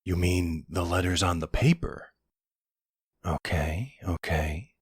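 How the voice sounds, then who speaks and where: Very deep, husky-voiced boyfriend played by a man. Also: no echo, studio quality, heavily acted. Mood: attentive, caring. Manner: hesitant, halting, gently questioning. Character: supportive, puzzled boyfriend of a werewolf, adult man. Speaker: audio roleplay performer. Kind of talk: intimate boyfriend roleplay audio acting.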